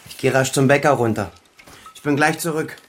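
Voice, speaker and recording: An actor playing a dutiful, caring adult son in a German radio crime drama, in plain, matter-of-fact speech. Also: male voice, medium pitch, a little fast, fairly bright tone, slight background noise, natural voice.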